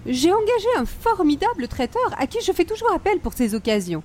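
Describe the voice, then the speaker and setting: A woman's voice: very high voice, sing-song, brisk, darkish tone. A woman playing an affected, snobbish older hostess with a Quebec French accent and precious, formal diction, in radio comedy style. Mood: gracious, affected. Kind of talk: old-time radio comedy acting.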